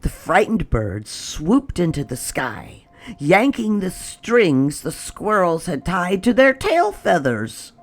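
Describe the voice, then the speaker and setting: A storytime host reading a children's picture book aloud in an expressive, unhurried way. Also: medium pitch.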